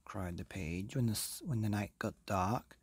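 A man hosting and reading a children's bedtime story in an expressive, theatrical way. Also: lowish voice, moderate pace, husky, no echo, clean studio sound. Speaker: podcast host and story reader.

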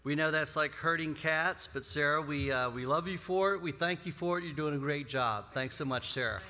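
Middle-aged man, crisp forceful tone, formal conference speaker, long hall reverb. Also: fairly high pitch, normal speed, a little hiss or hum. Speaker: institute chair, conference speaker. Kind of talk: prepared formal remarks. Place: large hotel ballroom, on stage. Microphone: podium microphone.

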